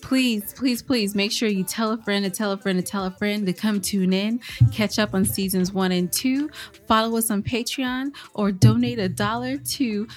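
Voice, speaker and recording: A woman's voice: medium pitch, moderate pace, faint music behind. Giggly woman podcast co-host. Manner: laughs often, playfully embarrassed. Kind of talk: casual banter.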